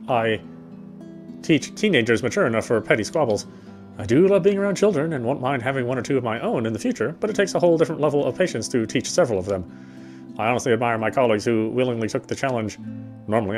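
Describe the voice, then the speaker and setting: Fast-reading male narrator. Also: medium pitch. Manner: quick, with slips and self-corrections. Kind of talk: first-person narration of a visual novel.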